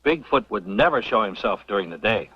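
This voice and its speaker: Casting: sly, self-important Western professor, animated delivery; dull old radio sound. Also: male voice, fairly low pitch, breathy edge, no echo. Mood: advising. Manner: pompous, deliberate. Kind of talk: old-time radio drama acting. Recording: muffled old radio recording.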